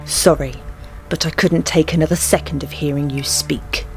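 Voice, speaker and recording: A woman's voice: low voice, steady tone, over loud music, natural voice. A woman playing a proud, resolute young warrior queen in a fantasy fandub, speaking firmly and solemnly. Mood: cold resolve. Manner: firm, solemn, deliberate. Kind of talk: fantasy audio drama fandub acting.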